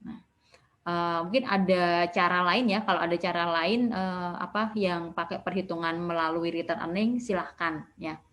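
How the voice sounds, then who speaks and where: A woman teaches in a recorded online lecture. Her voice sits a little low for a woman. She explains step by step in a didactic manner, often adding short tag words to confirm each point. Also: fairly even intonation, normal speed, fairly bright tone, a little hiss or hum. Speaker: accounting lecturer.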